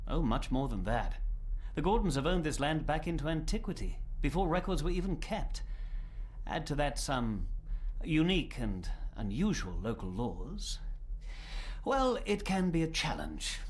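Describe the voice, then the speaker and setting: An actor playing a courteous, discreet family lawyer in a video game, speaking formally and precisely. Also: male voice, a little high, sing-song, a little slow. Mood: enthusiastic.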